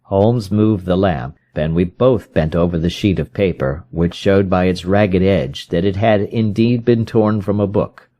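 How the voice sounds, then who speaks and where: A man's voice: low-pitched, measured pace, hardly any pauses, dark tone. A man reading a classic detective story aloud as scripted narration. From United States. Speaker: audiobook reader.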